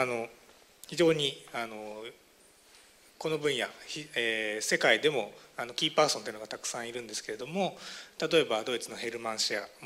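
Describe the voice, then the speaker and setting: A man's voice: mid-range voice, sharp tone. A man moderating a conference session, giving a formal introduction with frequent hesitations and fillers.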